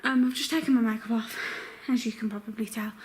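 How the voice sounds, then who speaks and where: A young, heavily pregnant woman chatting casually to her camera at home, full of filler words, her voice croaky. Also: fairly high pitch, fairly even intonation, fast talker, a little hiss or hum. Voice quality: croaky morning voice. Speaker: vlogger. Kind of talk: casual vlog chat. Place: home bedroom.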